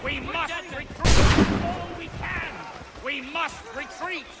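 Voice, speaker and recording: Very high-pitched alarmed soldier. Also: male voice, slow pace. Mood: alarmed, urgent. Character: alarmed soldier under fire. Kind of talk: dramatic video game acting. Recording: loud battle music and gunfire behind.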